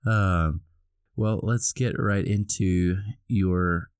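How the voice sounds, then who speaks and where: A man co-hosting a podcast in casual chat, hoarse and groggy from a cold, laughing easily. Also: sits low, fairly even intonation, very slow, no echo, studio quality. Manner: laughs often, easygoing. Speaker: podcast co-host.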